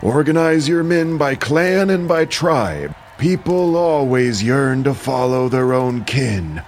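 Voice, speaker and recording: A man's voice: medium pitch, lively intonation, slow pace. An actor playing a stern old Greek horseman and war counselor in an audio drama, admonishing the men in commanding, declamatory speech. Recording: clear ambient noise behind voice.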